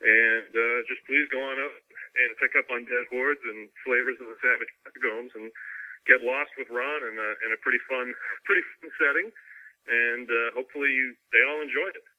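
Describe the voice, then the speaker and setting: A man's voice: mid-range voice, steady tone, normal speed. A man giving a casual interview as a novelist, talking in a chatty, jokey way over a remote call. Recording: dull, lo-fi phone-like line.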